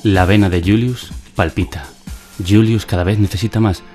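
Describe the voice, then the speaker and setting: An actor with a Spanish accent from Spain playing a deadpan narrator in a surreal satirical radio drama, speaking in clipped, declamatory phrases. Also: male voice, fairly low pitch, normal speed, close to an everyday voice. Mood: ironic, intense. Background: music behind the voice.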